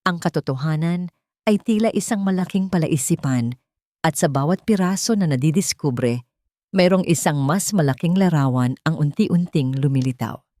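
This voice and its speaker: A woman's voice: low voice, moderate pace, warm tone, dry recording, clean studio sound. A woman reading a scripted dramatic audio story as its narrator.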